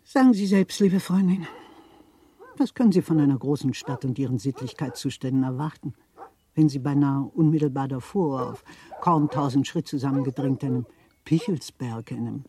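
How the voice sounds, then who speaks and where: An actress playing a worldly, gossipy middle-aged widow of a Berlin official in a German radio play, theatrical, insinuating and chatty. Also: female voice, extremely low pitch, normal speed, mellow. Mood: indignant, relishing. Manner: theatrical, insinuating, chatty, repeats words for emphasis.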